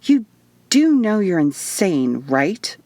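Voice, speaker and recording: A woman's voice: lowish voice, big pitch swings, slow, mellow, dry recording, exaggerated voice. A sarcastic young woman who can't believe what is happening, acted by a female narrator. She grumbles with incredulity, in a dry audiobook recording. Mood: flat incredulity.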